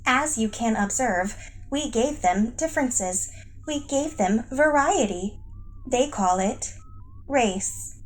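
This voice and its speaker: A woman playing a deferential, wry alien underling giving measured reports in a sci-fi satire audio play. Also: fairly high pitch, lively intonation, rough recording. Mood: dry, matter-of-fact.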